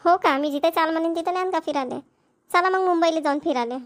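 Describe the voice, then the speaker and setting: Chatty, agreeable village wife, played by a woman, very high pitch, rural Maharashtrian accent, cartoon. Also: quick pace. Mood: teasing, agreeable. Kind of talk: cartoon family dialogue.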